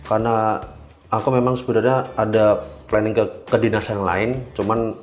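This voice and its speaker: A young man with an Indonesian accent giving casual explanatory answers as an interview guest, informal and halting as he thinks aloud. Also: fairly low pitch, little pitch movement, soft background music. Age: young adult. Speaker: interview guest, government cybersecurity engineer.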